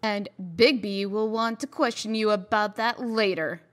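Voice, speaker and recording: Withering woman executive female actor: unhurried, icy sarcasm, medium pitch, comic dub. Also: fairly even intonation, a bit bright, lightly acted. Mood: cold, bitter. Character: icy, venomous woman executive, scornful ex-wife.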